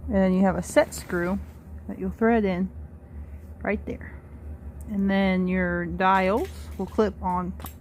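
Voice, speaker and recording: A woman's voice: mid-range voice, darkish tone, slight background noise. A woman giving an informal step-by-step demonstration, thinking aloud as she works with her hands. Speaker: co-host demonstrating assembly.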